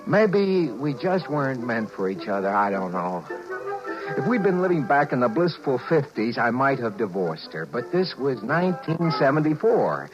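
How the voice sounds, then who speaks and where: A man's voice: medium pitch, sing-song, moderate pace, over music, lightly acted. An actor playing a harried, wry husband and businessman in a 1950s radio drama. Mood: wry narration. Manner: flustered, wry. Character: harried, exasperated husband and businessman narrating his woes. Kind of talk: 1950s radio drama acting and first-person narration. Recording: muffled vintage 1950s radio recording.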